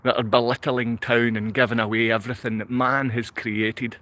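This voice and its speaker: A Glaswegian man speaks off the cuff to a livestream audience. His pitch sits in an average male range. He rambles, circles back on the same phrases and keeps throwing out rhetorical questions. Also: little pitch movement, normal speed, fairly bright tone. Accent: Glaswegian Scottish. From Glasgow, Scotland. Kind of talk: unscripted livestream monologue to viewers.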